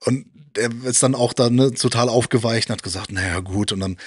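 A husky-voiced man around forty chats as a show guest. He reminisces conversationally, often adding little tags to seek agreement. The handheld mic gives a dry sound at a medium pitch. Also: fairly quick, dry recording. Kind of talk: casual chat, reminiscing. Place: indoor room with shelves of films. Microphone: handheld microphone.

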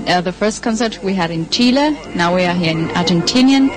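A young woman with a slightly low voice explains things in hesitant English, pausing often. Music plays clearly in the background. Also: animated. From Finland. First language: Finnish. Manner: hesitant, filler-heavy non-native English. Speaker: singer studying singing. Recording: interview with music behind.

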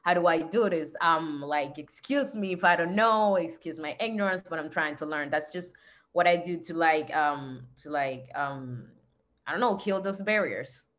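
A woman's voice: sits low, moderate pace, a bit bright. A woman student answering casually on a video call panel, chatty with "like" fillers.

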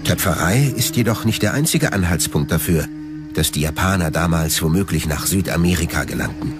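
A man narrates a documentary in a low-pitched voice. His delivery is measured and factual, yet his intonation moves in a lively way. Music plays behind the studio voice-over. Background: background music.

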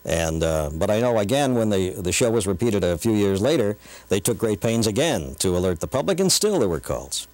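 A man's voice: fairly low pitch, sing-song, brisk, darkish tone, dry recording. A middle-aged newsman reminiscing conversationally in an interview. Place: small radio studio.

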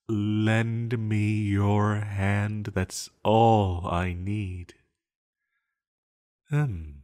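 A man's voice: darkish tone, full character voice. A man playing a banished sorcerer villain, sly, seductive and scheming, speaking in a teasing, theatrical manner to the listener in an audio roleplay. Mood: intrigued, scheming. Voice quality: low-pitched. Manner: slow, teasing, theatrical, with lingering pauses.

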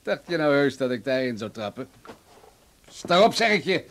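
A man's voice: medium pitch, sing-song, moderate pace, fairly bright tone. A man playing a violent, gloating bully in a radio play, taunting and menacing. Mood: taunting, angry. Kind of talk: radio drama acting. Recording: noisy old radio drama recording.

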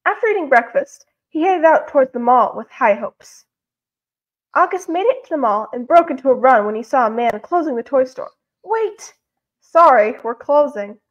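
A woman's voice: high-pitched, animated, a little fast, lo-fi sound. A woman reading a story aloud expressively on a livestream, acting out the dialogue as she goes.

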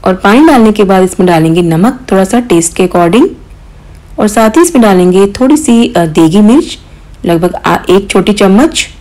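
A woman hosting a cooking channel, calmly explaining each step of a recipe in voice-over, with occasional small hesitations. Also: mid-range voice, moderate pace. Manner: calm step-by-step instruction with mild hesitations.

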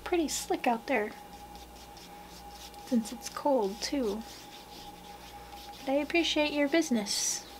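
A young woman doing a soft-spoken ASMR roleplay as a portrait painter, hushed and gentle, at times slipping into a whisper. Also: sits high, lively intonation, takes it slowly, pauses often, a little hiss or hum, rough recording. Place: small wood-panelled room indoors.

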